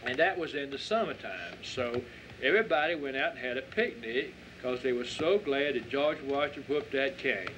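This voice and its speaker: A man's voice: medium pitch, animated, moderate pace, a bit bright, natural voice. A man voicing a folksy, patient rural Southern reverend who questions children like a preacher, in a dramatic story reading. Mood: patient, agreeing. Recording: dull lo-fi audio with faint noise.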